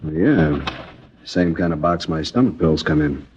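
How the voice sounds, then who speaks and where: An actor playing a middle-aged American father, curt, indignant and disbelieving, with a rough, husky voice, in a radio drama. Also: extremely low pitch, expressive, a little slow, darkish tone, a little hiss or hum. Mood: baffled. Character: defensive, irritated, disbelieving father of a teenage son. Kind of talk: 1950s radio drama acting. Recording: dull old radio recording.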